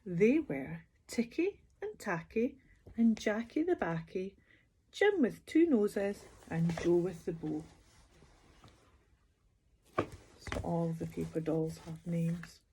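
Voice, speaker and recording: A woman reading a children's picture book aloud as a bedtime storyteller, expressive and sing-song, putting questions to young listeners. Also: lowish voice, slow pace, long pauses, a little hiss or hum, poor audio.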